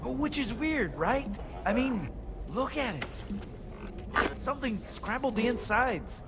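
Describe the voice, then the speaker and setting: High-voiced, griping young marine. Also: male voice, sing-song, slow, creaky, heavy background noise, exaggerated voice. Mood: puzzled. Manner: informal, complaining, doubtful. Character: young, griping, uneasy male marine grunt. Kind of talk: video game cutscene acting. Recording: loud music over the voice.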